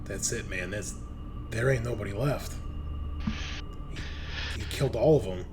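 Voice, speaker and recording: A man voicing a wide-eyed, rattled station guard in a tabletop game, talking in excitable, stammering street slang. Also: on the low side, normal speed, music in the background, close to an everyday voice. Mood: shaken.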